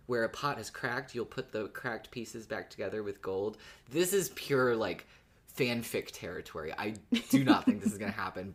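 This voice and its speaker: A podcast co-host chatting casually and expressively in a fan discussion, swearing freely. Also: medium pitch.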